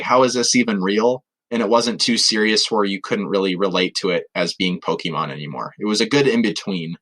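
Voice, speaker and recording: A man co-hosting a podcast, trading informal, slangy commentary and quick reactions in relaxed banter. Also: lowish voice. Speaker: podcast co-host.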